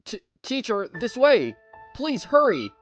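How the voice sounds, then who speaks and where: An earnest, slightly smug teenage schoolboy played by a man tells his own story in a manga dub. His pitch moves a lot, and he speaks slowly. Music runs underneath. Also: huge pitch swings, a bit bright, full character voice. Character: kind, self-assured teenage schoolboy narrating. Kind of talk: anime dub voice acting and narration. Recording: music bed behind voice.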